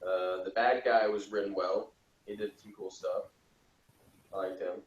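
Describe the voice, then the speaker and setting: A man's voice: low voice, fairly even intonation, unhurried. A man giving blunt, dry opinions in a casual group discussion as a review panelist. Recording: distant, roomy, dull recording with faint hiss.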